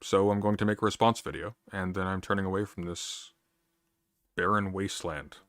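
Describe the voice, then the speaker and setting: Curt deep-voiced man in his thirties. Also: fairly even intonation, normal speed, fairly bright tone, no room sound. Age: 30s. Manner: dry, curt and dismissive, repeats words when interrupted. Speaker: streamer in a debate. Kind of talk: heated online debate.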